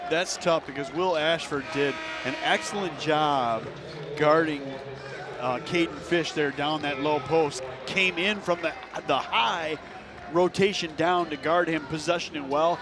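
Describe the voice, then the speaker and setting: A man's voice: on the high side, a little slow, a crowd in the background. A man working as color analyst on a live radio basketball broadcast, explaining plays in a conversational way.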